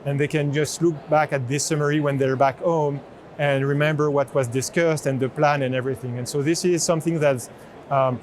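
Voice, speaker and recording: A man with a slightly high voice presents a product off the cuff. He explains earnestly, often pausing and repeating words. There is noticeable exhibition hall noise behind him. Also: fairly even intonation. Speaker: chief product officer giving a product demo. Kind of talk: spontaneous product demo presentation. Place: indoor trade show booth in large exhibition hall. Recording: busy convention hall background noise.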